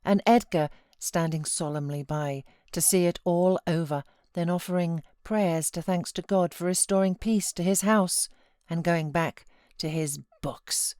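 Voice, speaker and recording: A woman voicing a feverish, self-pitying young married gentlewoman from a classic novel, with dramatic outbursts and anguished pleading. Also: on the low side, unhurried, no room sound, clean studio sound, lightly acted. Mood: wounded, despairing.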